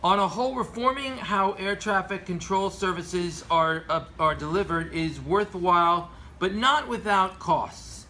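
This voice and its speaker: A man delivers earnest prepared commentary in a somewhat high, very bright and forceful voice. He reads as a presenter, now and then tripping over a word. There is faint noise behind him. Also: measured pace, hardly any pauses. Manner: earnest, occasionally stumbling over scripted words. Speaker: aviation commentator presenting a show segment. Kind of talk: prepared commentary read aloud with informal asides.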